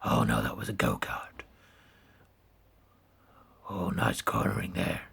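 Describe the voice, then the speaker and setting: A man putting on a gruff, gravelly brooding vigilante voice while commentating a racing game. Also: very deep voice, sing-song, slow pace, fairly bright tone, voice pushed down, exaggerated voice. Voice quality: gravelly, growled. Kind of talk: gaming commentary in character.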